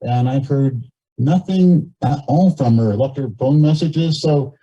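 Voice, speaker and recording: Dark-voiced, husky man speaking haltingly. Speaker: cabin owner speaking from the floor at a meeting. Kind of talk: informal meeting remarks and questions. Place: community meeting, captured on a video call. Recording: muffled low-fidelity meeting audio over video call.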